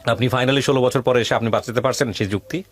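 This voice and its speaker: A man's voice: mid-range voice, steady tone, quick pace, soft background music. A man with a Bangladeshi accent hosting a radio interview, bluntly pressing and advising, repeating phrases and mixing in English words. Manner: mixes English words into speech. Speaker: radio show host. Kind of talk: probing interview with advice to a guest.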